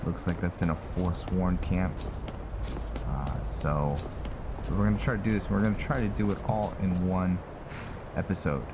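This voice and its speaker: A man's voice: low-pitched, normal speed, pauses often, noisy background. A man giving casual, laid-back let's-play commentary on a video game, thinking aloud as he plays. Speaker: gaming host, roleplaying player.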